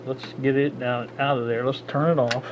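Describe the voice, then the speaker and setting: Man thinking aloud over muffled noise. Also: medium pitch. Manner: halting, thinks aloud with frequent uh. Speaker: hobbyist radio restorer. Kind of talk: casual hands-on demo narration. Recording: dull lo-fi with steady background noise.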